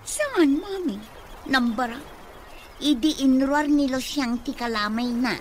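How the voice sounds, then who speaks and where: A woman's voice: sits high, expressive, unhurried. A woman playing a doubtful young office worker caught up in her mother's schemes in a radio comedy-drama. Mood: complaining. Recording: faintly noisy radio recording.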